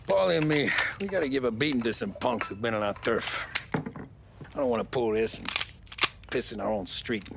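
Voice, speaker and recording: A man playing a loyal mob soldier in a video game, talking plainly and understated in a gravelly voice. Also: medium pitch, moderate pace, very noisy, natural voice. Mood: matter-of-fact. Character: loyal, low-key male mob soldier. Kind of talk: dramatic video game cutscene acting.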